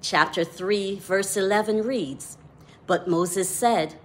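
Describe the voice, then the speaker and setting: A woman with a Jamaican accent, a devotional author giving a scripted devotional talk in a measured, deliberate way. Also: medium pitch, a little hiss or hum.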